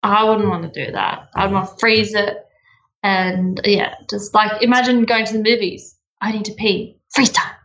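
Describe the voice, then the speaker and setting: A young woman with an Australian accent answering interview questions in a bubbly, giggly way. She stretches out words for emphasis and speaks at an everyday pitch, with a relaxed, conversational delivery. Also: normal speed, highs cut off. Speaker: interview guest. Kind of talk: casual interview chat.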